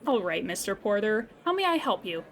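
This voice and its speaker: A woman playing a patient, courteous insurance customer service agent in a scripted audio comedy, speaking formally and with measured politeness. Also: medium pitch, sing-song, fairly bright tone, slight background noise. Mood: polite, businesslike. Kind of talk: scripted comedy audio drama phone call.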